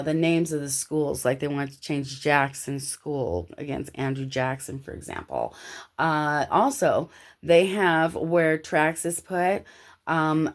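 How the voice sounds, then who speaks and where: An American woman from Los Angeles talking casually and telling personal anecdotes in an animated, slangy way. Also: low-pitched. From Los Angeles, United States.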